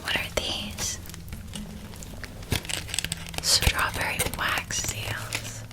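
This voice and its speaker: A woman playing a caring, playful elder witch sister in an ASMR roleplay, speaking in a soft, breathy whisper with a gentle, reassuring manner. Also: very slow. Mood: gentle, encouraging.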